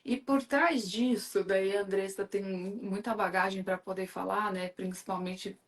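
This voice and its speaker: A woman's voice: medium pitch, steady tone, measured pace. A woman from Brazil hosting a live stream, talking in a chatty, enthusiastic way with lots of fillers. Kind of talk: casual live chat.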